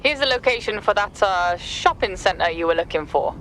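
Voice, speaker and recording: An actress playing a casual, breezy underworld contact in a video game, offhand in delivery. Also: female voice, medium pitch, expressive, a little fast, a bit bright, a little hiss or hum, natural voice, poor audio. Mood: breezy, businesslike. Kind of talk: video game dramatic acting.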